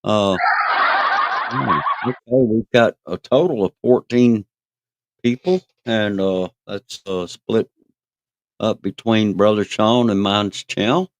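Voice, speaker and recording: A man's voice: on the low side, takes it slowly, no room sound. A man co-hosting a livestream, chatting casually and encouragingly with plenty of hesitant fillers. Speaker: livestream co-host.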